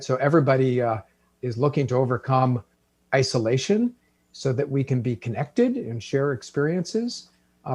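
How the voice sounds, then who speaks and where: A man speaking as a newspaper executive on a panel, thoughtful and halting, with many fillers. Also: mid-range voice, a little mellow. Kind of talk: panel discussion.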